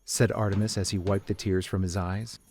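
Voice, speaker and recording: A man's voice: sits low, little pitch movement, a little fast, a little mellow. A man reading scripted story narration as the narrator of an audio drama. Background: music.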